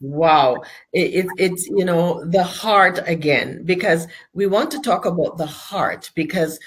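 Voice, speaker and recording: A woman's voice: on the low side, measured pace, husky. A woman hosting a faith-based interview, enthusiastic and encouraging toward her guest. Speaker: host.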